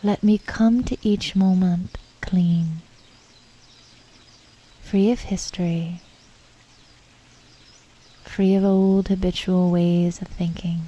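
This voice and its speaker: Very mellow, slow woman guiding meditation. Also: fairly low pitch, muffled recording. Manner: calm, unhurried, reflective, with pauses. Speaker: meditation guide. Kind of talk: guided meditation narration.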